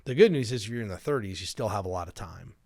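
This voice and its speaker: A man's voice: fairly low pitch, lively intonation, fast talker, gravelly, darkish tone, dry recording. Middle-aged man explaining money, dry studio sound. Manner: explanatory, illustrates with hypothetical first-person quotes. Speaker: financial planner and show host. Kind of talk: conversational personal finance advice show.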